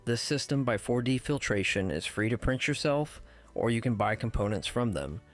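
A man with an ordinary mid-range voice narrates a technical tutorial from a script. His delivery is measured and precise, explaining each step plainly. Faint music plays quietly behind him. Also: mid-range voice, animated.

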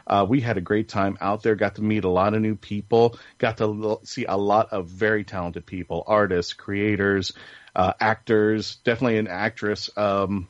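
Dull-sounding, low-voiced male podcast host. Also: steady tone, moderate pace, no room sound. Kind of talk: casual panel chat.

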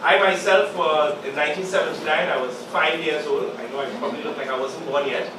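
Hoarse man at a podium in an echoey room. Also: fairly high pitch, steady tone, noisy background. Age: 40s. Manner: measured, halting, with many pauses and fillers. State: sore throat from a cold. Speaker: moderator. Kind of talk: opening remarks at a panel discussion. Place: small meeting room with a live audience. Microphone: podium mic, filmed from the back of the room. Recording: echoey room recorded from far off.

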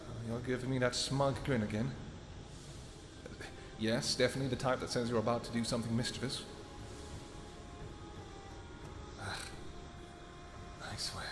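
A man voicing a protective royal vampire husband in a scripted audio roleplay, soft-spoken and affectionate, with hesitant pauses. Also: mid-range voice, fairly even intonation, music in the background, lightly acted. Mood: amused.

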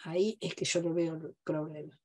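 A woman lecturing over a video call, stressing points and listing examples with pauses. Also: low-pitched, flat delivery, a little mellow. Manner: emphatic, lists examples with pauses. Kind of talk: academic talk on video call.

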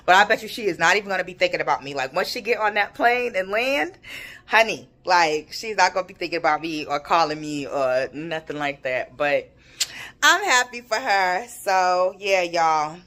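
A woman's voice: fairly low pitch, animated, moderate pace, bright, forceful tone. A young American woman chatting casually and slangily to a vlog audience. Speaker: vlogger. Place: home kitchen, indoors. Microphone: phone at arm's length.

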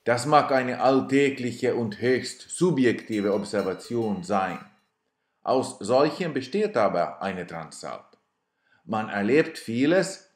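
Slow, dry man narrating. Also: on the low side, echoey room. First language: Swedish. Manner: dry, self-ironic storytelling. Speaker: cyclist narrating his trip video. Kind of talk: scripted voice-over narration.

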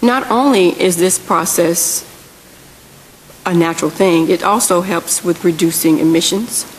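A woman's voice: on the low side, a little slow. A woman in her thirties presenting on a policy panel into a table microphone in a large hearing room, earnest and correcting herself as she goes. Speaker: panel speaker. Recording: long hall reverb, faint hiss.

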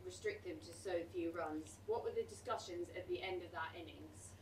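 A woman's voice: on the low side, brisk. A woman moderating a press conference, asking players questions in a friendly, chatty way. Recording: distant mic with faint room noise.

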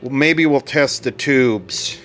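A man's voice: mid-range voice, slow pace, fairly bright tone, soft background music. A man talking casually and matter-of-factly through hands-on repair work, rambling a little as he goes. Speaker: radio repair hobbyist, video host.

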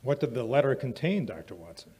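Casting: actor as hearty 1940s radio pitchman, mid-range husky voice, eager and folksy. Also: very animated, fairly quick, mellow, poor audio. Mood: curious, impressed. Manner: hearty, salesmanlike. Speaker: announcer. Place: small indoor museum studio stage. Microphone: vintage-style stand microphone.

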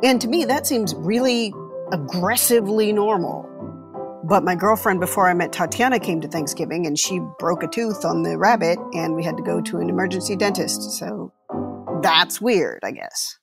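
Low-voiced, hesitant, candid woman. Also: moderate pace, creaky, over music. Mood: musing, uneasy. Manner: hesitant, musing, rambling. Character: uneasy, candid woman married into an eccentric family. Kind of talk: naturalistic comedy audio drama acting.

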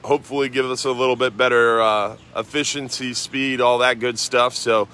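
American man, marina host, bright forward voice, easygoing fishing update outdoors. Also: medium pitch, moderate pace. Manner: relaxed, rambling, many "uh" fillers. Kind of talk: casual fishing and weather report.

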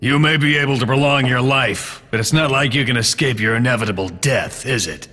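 A man's voice: mid-range voice, a little fast, slight background noise, natural voice. An actor playing a scarred, menacing male commando who taunts and threatens, in a video game cutscene. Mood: taunting. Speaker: mercenary villain. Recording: game cutscene with loud music.

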